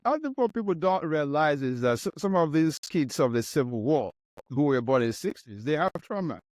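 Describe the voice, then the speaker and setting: A man hosts the interview, talking emphatically and often cutting in with reactions and firm opinions. His voice sits a little above the usual male pitch. The sound is close and dry. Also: sing-song, brisk, a little mellow, no echo. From Nigeria. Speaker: host, physician. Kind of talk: interview conversation.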